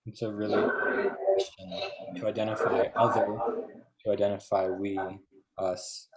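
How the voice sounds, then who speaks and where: A man giving a reflective comment in a discussion, measured and refining his point as he goes. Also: fairly low pitch, level tone, very noisy.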